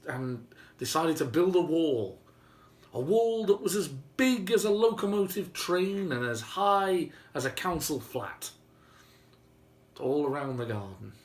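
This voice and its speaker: A man telling a story informally and whimsically, with dramatic pauses and emphasis. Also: on the high side. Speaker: storyteller and video host. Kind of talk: informal improvised oral storytelling. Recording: roomy, faint background noise.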